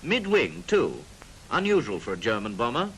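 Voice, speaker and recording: A man's voice: medium pitch, wildly swooping pitch, moderate pace, a bit bright. A British man narrating a 1940s military training film in a clipped, instructive manner with drily jocular asides. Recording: noisy 1940s film soundtrack.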